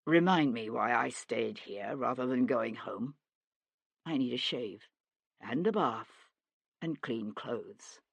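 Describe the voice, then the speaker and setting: A woman voicing a young gentleman investigator in an audiobook, sardonic and hard-edged, with a clipped manner. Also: a bass voice, moderate pace, no room sound, exaggerated voice. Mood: weary.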